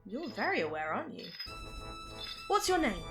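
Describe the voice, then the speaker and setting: A woman playing a quick-witted, flippant and determined time-travelling adventurer in an audio drama. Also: on the high side, big pitch swings, over music. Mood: wry, coaxing. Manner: wry, flippant, teasing.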